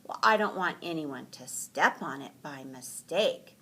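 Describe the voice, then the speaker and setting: Woman reading a careful, deliberate young storybook bear. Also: deep voice, animated, a bit bright, breathy edge, full character voice. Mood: protective.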